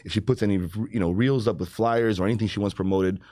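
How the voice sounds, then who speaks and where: A man's voice: fairly low pitch, fairly even intonation, fast talker, warm tone, dry recording. A man hosting a comedy podcast, laid-back with stumbling restarts, whose first language is not English. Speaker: podcast host. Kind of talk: casual podcast chat.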